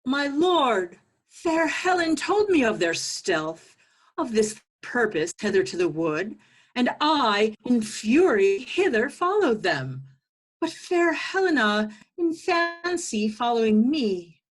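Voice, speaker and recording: A woman reads an earnest young noble suitor in a Shakespeare play. The voice is high and swoops expressively, yet the phrases come slowly and with emphasis, each one placed deliberately. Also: big pitch swings, full character voice, rough recording. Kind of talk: Shakespeare table read. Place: video call.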